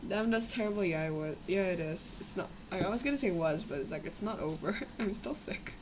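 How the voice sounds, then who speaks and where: A young woman with a slightly raspy voice from a cough, rambling casually as she chats on a live stream while building models. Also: medium pitch, animated, a little fast. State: sick with a cough, itchy throat. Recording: clear background noise, home livestream.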